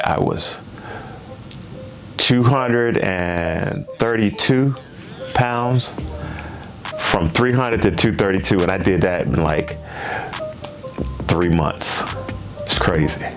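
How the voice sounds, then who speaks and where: A man's voice: sits low, animated, slow pace, gravelly, long pauses, soft background music. A man in his fifties chatting casually to his viewers, repeating himself and tagging lines with questions. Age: 50s. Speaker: gaming channel host. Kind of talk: casual chat to camera.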